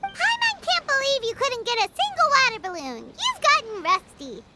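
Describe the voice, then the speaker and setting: A woman voicing a tiny, childlike, excitable fairy companion with a squeaky voice in an anime game. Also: normal speed, a little hiss or hum, heavily acted. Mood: teasing. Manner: exclaiming, third-person self-reference.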